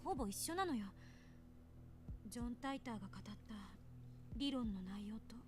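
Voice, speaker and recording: An actress playing a sharp, easily flustered young woman scientist in a visual novel game. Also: fairly high pitch, expressive, fast talker, close to an everyday voice. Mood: serious explaining. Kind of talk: Japanese visual novel voice acting. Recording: game audio under background music.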